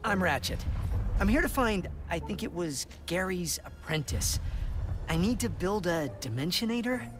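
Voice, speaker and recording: A man's voice: high-pitched, lively intonation, moderate pace. A man playing a young, friendly, earnest cat-like alien hero in a video game. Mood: friendly, hesitant. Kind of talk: video game cutscene acting. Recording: loud music behind.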